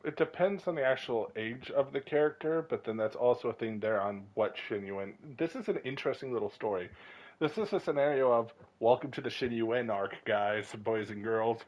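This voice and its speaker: A man's voice: mid-range voice, moderate pace, a little mellow, dull audio. A man giving casual, rambling running commentary on a video game, musing aloud as he goes. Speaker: game streamer.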